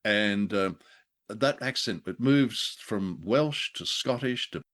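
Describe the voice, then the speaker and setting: A man co-hosting a show, discussing old radio drama casually in a hesitant, halting way. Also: on the low side, animated, a bit bright. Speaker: podcast co-host.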